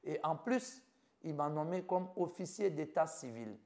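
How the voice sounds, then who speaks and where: Gravelly middle-aged Ivorian man. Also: a little high, expressive, a little slow, darkish tone. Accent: Ivorian French. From Côte d'Ivoire. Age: 50s. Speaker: doctor interviewed about his life. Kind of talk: personal account in an interview.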